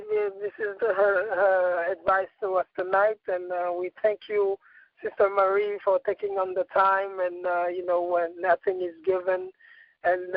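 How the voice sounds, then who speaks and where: High, lively, gravelly man. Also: no room sound, phone-quality sound. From Haiti. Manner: halting, full of fillers and repeated words. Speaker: host. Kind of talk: casual spiritual chat.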